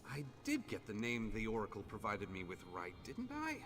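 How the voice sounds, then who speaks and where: A man's voice: fairly low pitch, huge pitch swings, normal speed, a bit bright, husky, heavily acted. An actor playing a polite, formal male mage in a fantasy video game. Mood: courteous. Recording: long hall reverb, faint music behind.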